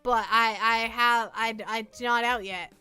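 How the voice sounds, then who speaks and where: Girl streamer w a high bright voice, rambly n playful, repeats herself, music going. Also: steady tone, unhurried, clean tone. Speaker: livestream host, VTuber. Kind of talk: casual livestream chat with viewers.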